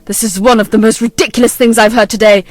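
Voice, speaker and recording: A woman's voice: fairly high pitch, steady tone, fast, darkish tone, no echo, pitched below the performer's own voice, natural voice. Woman doing a furious grown son. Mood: angry. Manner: harsh and scolding.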